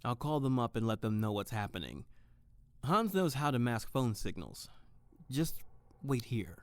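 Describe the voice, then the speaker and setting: An actor playing an earnest private detective, narrating and talking in a dry, deliberate noir style for audio drama. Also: male voice, mid-range voice, big pitch swings, no room sound. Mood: dry, serious.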